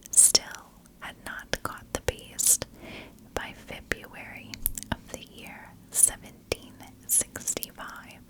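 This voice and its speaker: A woman tells a story in a soft ASMR whisper, with no voiced tone at all. Her delivery is very slow and deliberate, breaking the narration into short, measured phrases. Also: rough recording. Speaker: ASMR storyteller, channel host.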